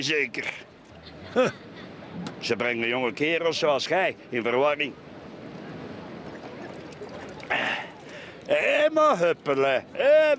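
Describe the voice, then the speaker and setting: A man's voice: a little high, fairly bright tone, slight background noise. An actor playing a grumpy, prudish middle-aged man in a Flemish radio play, blustering and muttering with a Flemish accent. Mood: indignant. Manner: blustering, grumbling, exclaims and mutters. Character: grumpy, prudish, boastful heavyset middle-aged bourgeois beach-café customer.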